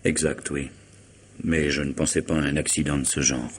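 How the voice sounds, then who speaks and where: An actor playing a wary, guarded man facing his blackmailer in a radio mystery, speaking in a measured, formal way. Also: a bass voice, fairly even intonation, breathy edge. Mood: sceptical. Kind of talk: French radio mystery drama acting.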